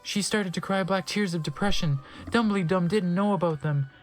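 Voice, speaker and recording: Theatrical narrator with deadpan asides, music behind. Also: medium pitch, moderate pace. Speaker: narrator of a dramatic reading. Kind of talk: dramatic comic reading of fan fiction.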